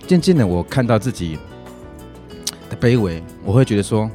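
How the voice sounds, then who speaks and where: A man's voice: on the low side, very animated, normal speed, warm tone. A man with a Taiwanese Mandarin accent in a casual interview as an activist guest, speaking earnestly and repeating himself for emphasis. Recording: music playing behind the voice.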